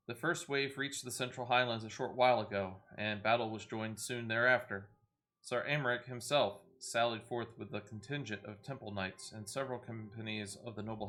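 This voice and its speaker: Man reading as a bright-toned, grave knightly envoy. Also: lowish voice, natural voice. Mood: grave, urgent. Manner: stately, urgent archaic speech. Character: grave, proud knightly envoy from a besieged fantasy city.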